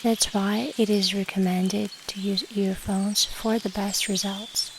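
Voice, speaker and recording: A woman guiding a meditation in a soft, soothing, measured way with long pauses and breathy endings. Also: medium pitch. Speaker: meditation guide. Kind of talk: slow guided meditation. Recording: steady rain noise behind the voice. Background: rain and nature ambience.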